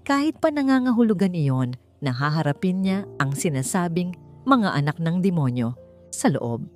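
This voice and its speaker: A woman's voice: on the low side, very animated, normal speed, a little mellow. A woman narrating a scripted romantic drama as an audiobook storyteller, in a dramatic reading style. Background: music.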